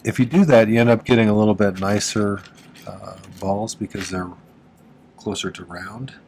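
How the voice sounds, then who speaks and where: A low-pitched man speaks in an easygoing, conversational way, walking viewers through a workshop project step by step. His voice is a little stuffy and nasal from congestion, and he fills his pauses with "uh." A faint room hum sits underneath. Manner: relaxed and chatty, with frequent "uh" fillers and small laughs. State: congested from allergies, slightly nasal. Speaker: silversmith teaching on his channel. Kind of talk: casual how-to narration.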